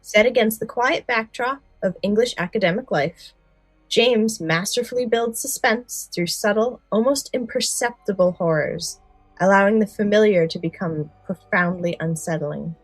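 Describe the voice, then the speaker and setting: A woman co-hosts a podcast in a fairly low voice. Her delivery is conversational and explanatory. The sound is very dry, with faint music underneath. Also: dry recording, low-quality recording. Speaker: podcast co-host. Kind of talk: casual podcast chat with some read-out story summaries.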